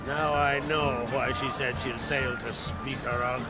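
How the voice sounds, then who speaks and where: A man playing a gruff, hearty older clan chieftain in a video game, with a gravelly voice and blunt, declamatory delivery. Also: mid-range voice, fairly even intonation, a little slow, lightly acted, poor audio. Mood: wry, confiding. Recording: loud music behind the voice.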